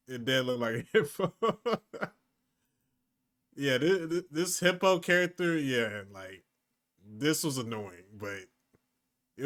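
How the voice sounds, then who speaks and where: A man hosting a reaction video, giving casual, slangy, profane commentary in an animated way. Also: mid-range voice.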